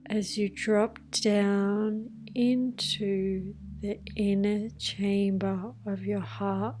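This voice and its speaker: A woman guiding a scripted meditation in a hushed, soothing manner, leaving long pauses between phrases. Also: medium pitch, little pitch movement. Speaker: meditation guide. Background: music with singing bowls.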